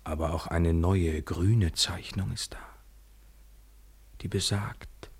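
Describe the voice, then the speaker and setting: An actor playing a tender, yearning lover from abroad in a poetic radio play, intimate and lyrical, at times nearly whispering. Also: male voice, low voice, steady tone, takes it slowly, breathy edge, full character voice. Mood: searching.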